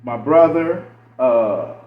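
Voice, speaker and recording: A man's voice: medium pitch, expressive, slow pace. An American man hosting a casual podcast interview, asking questions in a slangy, familiar way. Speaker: podcast host, film director. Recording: dull, echoey, distant mic, faint noise.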